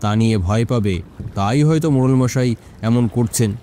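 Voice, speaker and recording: A man's voice: mid-range voice, a little fast, mellow, a little hiss or hum, natural voice. A man playing an impatient young village fisherman in a cartoon, coaxing and insistent. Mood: confiding. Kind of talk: Bengali cartoon story dialogue.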